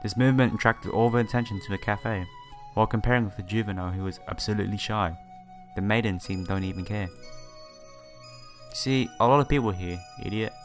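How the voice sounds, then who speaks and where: A man doing a game playthrough, reading the story text aloud with casual asides and stumbling over some words. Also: lowish voice. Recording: game music behind the voice.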